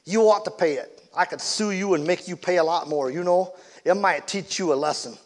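A furious, blustering driver barks threats and demands for money, as a man reading a story puts him on. His voice rides high and loud, pushing every complaint hard. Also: a little fast, lightly acted. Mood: demanding.

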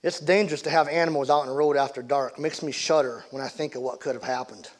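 A man's voice: mid-range voice, steady tone, fast, close to an everyday voice. A man voicing a worried young farm boy. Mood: concerned. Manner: concerned, earnest.

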